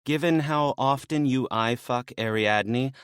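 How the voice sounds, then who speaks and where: A man voicing a sharp-tongued, bossy female maenad with a dry, mocking manner. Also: medium pitch, a little slow, clear, resonant tone, no echo, voice pushed up, natural voice. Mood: sarcastic.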